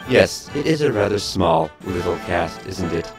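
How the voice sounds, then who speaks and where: A man's voice: deep voice, expressive, a little slow, vocal fry. A man reading credits as a narrator in a scripted, tongue-in-cheek style. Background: music.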